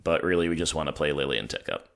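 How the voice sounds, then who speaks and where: Hoarse man with a low voice, wry gameplay commentary, talks through his decisions. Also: lively intonation, fast talker, fairly bright tone. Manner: thinking aloud, wry, self-mocking. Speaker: gaming channel host.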